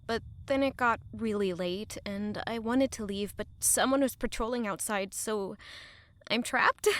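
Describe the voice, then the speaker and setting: A woman's voice: mid-range voice, a little slow, a bit bright, no echo, lightly acted. An actress playing a guilt-ridden, selfless young woman in love, speaking earnestly and emotionally in an intimate audio drama. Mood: sheepish.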